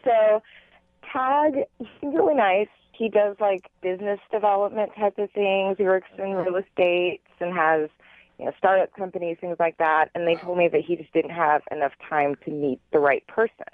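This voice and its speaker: A woman's voice: on the low side, normal speed. A woman phoning in to a radio show, casually explaining her personal story over a telephone line with some hedging. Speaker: phone-in guest on a radio show.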